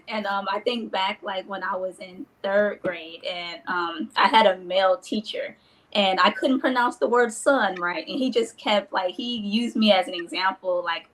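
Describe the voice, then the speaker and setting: A woman's voice: mid-range voice, low-quality recording. A woman sharing a personal story with a group, hesitant, with many "like" and "um" fillers.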